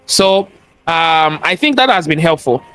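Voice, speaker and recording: High-voiced Nigerian man, emphatic. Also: expressive, measured pace, pushed and bright, clean tone, faint music behind. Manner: emphatic and preachy, repeats key phrases, asks rhetorical questions. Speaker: guest, cybersecurity expert and entrepreneur. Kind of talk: interview conversation, motivational talk.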